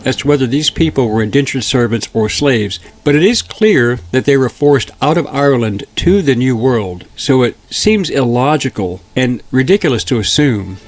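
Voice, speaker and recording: A man narrating a historical documentary in a measured, steady reading. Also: medium pitch. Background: faint background music.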